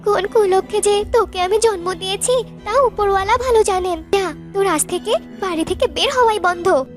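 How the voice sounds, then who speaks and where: A stern mother bird from a cartoon fairy tale , voiced by a woman. Her voice is very high and emphatic, set over prominent music. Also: moderate pace. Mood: furious, disappointed. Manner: reproachful, emphatic. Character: scolding mother bird, stern but proud.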